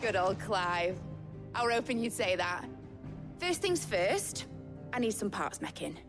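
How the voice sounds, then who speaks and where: An actress playing a brash, enthusiastic young engineer in a game cutscene, with a Northern English accent and colloquial, boastful delivery. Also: female voice, fairly high pitch. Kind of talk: lively game cutscene acting. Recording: music behind the voice.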